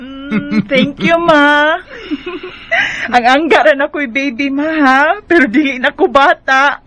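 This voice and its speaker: A woman's voice: high voice, slow, bright, forceful tone, no echo, full character voice. A woman acting an affectionate, teasing eighteen-year-old daughter in a radio drama. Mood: playful protest.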